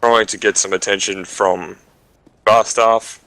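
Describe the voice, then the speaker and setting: A man's voice: lowish voice, steady tone, a little slow, a bit bright. A man bantering casually with friends on voice chat, teasing and repeating his phrases. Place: online voice chat.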